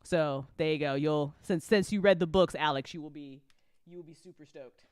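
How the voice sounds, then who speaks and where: Woman hosting a casual chat, sweary and emphatic, smooth clean voice, no room echo. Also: low-pitched, normal speed, darkish tone, clean studio sound. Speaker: host and audio drama writer. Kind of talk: casual after-show chat.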